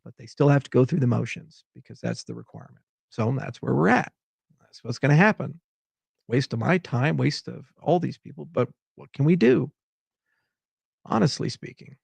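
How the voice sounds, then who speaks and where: A man's voice: medium pitch, creaky, a little mellow, slightly rough, dry recording. A man from Hawaii delivering an exasperated, rambling unscripted monologue as a tech founder giving commentary.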